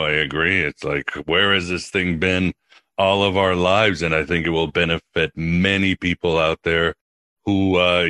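A man with a deep but bright, forward voice hosts a podcast. He talks casually as he interviews, and the sound is very dry. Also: dry recording. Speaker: podcast host.

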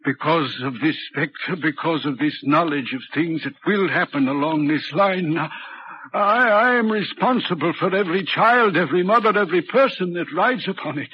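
A man's voice: on the high side, expressive, moderate pace, lightly acted. An actor in a 1940s radio drama playing a haunted, guilt-ridden railway signalman, speaking in an anguished, agitated way with emphatic repetitions. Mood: anguished, despairing. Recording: muffled, noisy vintage 1940s radio recording.

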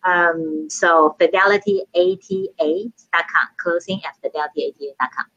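A woman's voice: on the low side, steady tone, normal speed, fairly bright tone, muffled recording. A woman from Taiwan with a Taiwanese accent, chatting as a guest in a casual interview, often restarting and filling with "you know".

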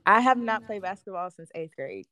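A teenage girl guest on a casual podcast, playful and quick with comebacks. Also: mid-range voice, lively intonation, pushed and bright. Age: teens. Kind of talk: casual chat.

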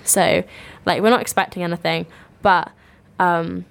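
A young woman from Cornwall co-hosting a podcast in relaxed, chatty style, a bit ill with a cough. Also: fairly low pitch, measured pace, creaky. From Cornwall, England. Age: young adult. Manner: relaxed and chatty, agreeing often. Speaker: podcast co-host. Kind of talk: casual chat. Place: student radio studio.

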